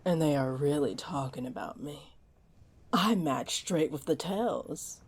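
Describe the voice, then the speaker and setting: A woman voicing a flirty, possessive mermaid in an intimate roleplay audio drama, coaxing in breathy half-whispers. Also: sits low, unhurried, darkish tone, heavily acted. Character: flirty, possessive, greedy female mermaid luring a pirate. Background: wave ambience.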